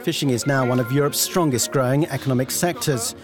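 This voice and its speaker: A man's voice: medium pitch, fairly quick, music in the background. A man reading scripted narration as a news documentary reporter.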